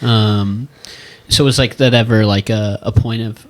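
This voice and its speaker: A man's voice: fairly low pitch, steady tone, measured pace. A young man hosting a podcast, asking long, meandering questions full of restarts in a casual chat. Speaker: podcast host.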